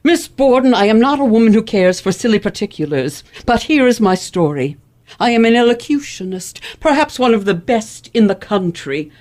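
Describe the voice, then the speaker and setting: A woman's voice: fairly high pitch, very animated, normal speed, darkish tone. Woman playing a pompous, haughty rich lady elocutionist. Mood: boastful, pompous. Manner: pompous, grandiose, precise enunciation. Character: haughty, wealthy, indignant lady elocutionist of 1870s New England. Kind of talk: old-fashioned radio play acting.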